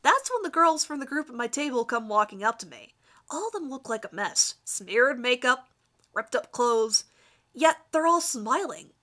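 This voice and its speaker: A woman's voice: sits high, sing-song, moderate pace, a bit bright. A woman giving a dramatic first-person reading of her own fan fiction story.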